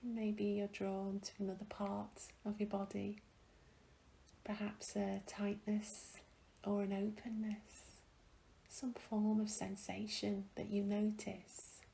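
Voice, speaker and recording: A woman guiding a meditation in a gentle, soothing, hushed and breathy voice, leaving long pauses between phrases. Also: medium pitch, completely flat delivery, slight background noise, rough recording. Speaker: meditation guide. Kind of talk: guided meditation, soothing and slow.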